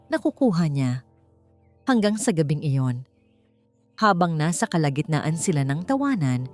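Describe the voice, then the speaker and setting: A woman's voice: sits low, a little mellow. A woman audiobook narrator reading a scripted dramatic story. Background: background music.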